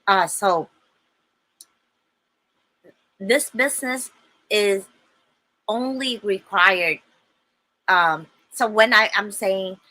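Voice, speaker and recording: Slow, hesitant woman with a bright voice. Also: mid-range voice, poor audio. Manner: halting, many fillers, ends points with "okay?". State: slightly hoarse with a little cough. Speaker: online business presenter. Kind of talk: casual explainer video.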